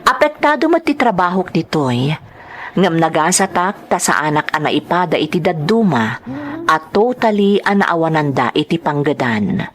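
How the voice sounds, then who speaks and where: An actress voicing a reflective woman in measured, scripted first-person drama narration of her life. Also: sits low, sing-song.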